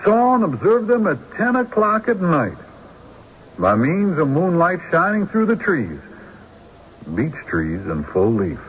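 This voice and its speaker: An actor playing a shrewd country defense lawyer in a radio drama, with a husky voice and deliberate, theatrical courtroom rhetoric. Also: male voice, a little high, huge pitch swings, a little hiss or hum. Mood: emphatic, incredulous. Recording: dull lo-fi old radio recording.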